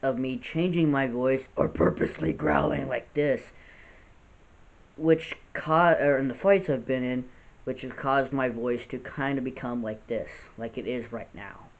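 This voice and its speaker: A man in his late twenties with a gravelly voice, talking earnestly and unscripted in a vlog, often restarting his sentences. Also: mid-range voice, a little slow. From United States. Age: 20s. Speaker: vlogger. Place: house with poor lighting. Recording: tinny phone-like audio.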